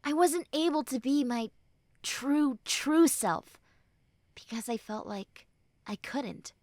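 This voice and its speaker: A high, young female voice acted by a woman in an intimate, dry roleplay recording. She is a tough tsundere friend letting her guard down. She speaks slowly and earnestly, with hesitant pauses and soft, tender reassurance. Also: animated, no room sound. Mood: vulnerable, confiding. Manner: earnest, hesitant, soft, often dropping to breathy near-whispers. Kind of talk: intimate roleplay audio acting.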